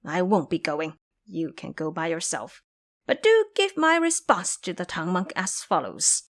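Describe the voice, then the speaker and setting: A woman's voice: lowish voice, very animated, measured pace. A woman voicing a proud, free-spirited male monkey king in an audio drama, boastful and playful. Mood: proud, defiant.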